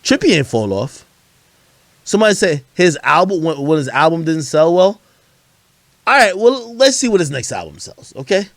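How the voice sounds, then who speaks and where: Male livestream host, higher-pitched and very expressive, casual slangy storyteller, swears freely, dry close sound. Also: moderate pace, no echo. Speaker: livestream host commenting on hip hop.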